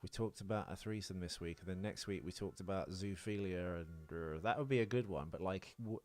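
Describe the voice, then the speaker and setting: A man's voice: deep voice, a little fast, darkish tone. A British man co-hosting a podcast, chatting casually and unscripted in a rambling, hesitant, self-deprecating way with some swearing. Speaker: podcast co-host.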